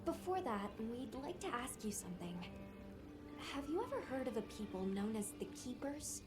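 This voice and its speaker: A woman's voice: fairly high pitch, very animated, normal speed. A woman playing a polite, earnest young female traveler in a video game cutscene. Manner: courteous, earnest. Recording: loud music behind. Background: music.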